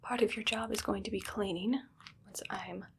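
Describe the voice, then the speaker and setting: A woman playing a menacing, irritable evil scientist in an ASMR roleplay, whispering bossy orders and deadpan threats with a breathy, creaky edge. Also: medium pitch, normal speed, a little hiss or hum, close to an everyday voice. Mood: businesslike, ominous.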